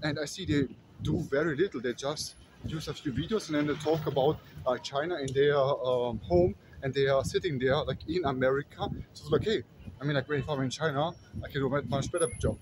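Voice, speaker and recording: Brief: thirties man with German accent, somewhat high voice, rambling walk-and-talk vlog, phone held at arm's length outdoors. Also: a little fast, background noise. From Kazakhstan. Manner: rambling, many restarts and fillers, walking while talking. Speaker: vlogger. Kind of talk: casual walking vlog talk. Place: city streets at night, outdoors.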